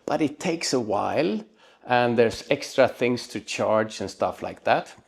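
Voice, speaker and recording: A man presenting a motorbike gear how-to in a casual, unscripted way, often pausing mid-sentence. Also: medium pitch, lively intonation, vocal fry. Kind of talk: casual unscripted explainer to camera.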